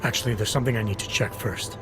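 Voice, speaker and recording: A man plays a terse game investigator who sets things out methodically. His voice sits low and moves briskly, clipped and matter-of-fact. Music is clearly audible behind him. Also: lightly acted. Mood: resolved. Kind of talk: video game cutscene dialogue.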